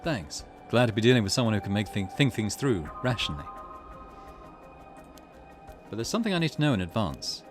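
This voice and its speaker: A man voicing a confident young curse bearer in a visual novel, calm, measured and coolly calculating. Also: lowish voice, over music. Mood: probing.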